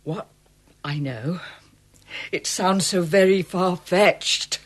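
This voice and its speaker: A woman's voice: on the low side, slow. An actress playing a guarded, defensive archive assistant in a radio drama, hesitant and wary in her replies.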